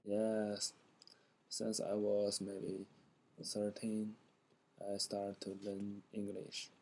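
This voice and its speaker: A man answering interview questions haltingly, searching for his English words. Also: lowish voice, completely flat delivery, a little mellow, husky. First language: Chinese.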